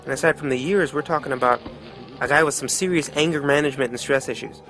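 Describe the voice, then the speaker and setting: A man's voice: medium pitch, fast, over music, close to an everyday voice, low-quality recording. A man playing a wry, streetwise storyteller, narrating a motion comic in a folksy, reflective, colloquial way. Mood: wry, ominous. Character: wry, streetwise male storyteller musing on death.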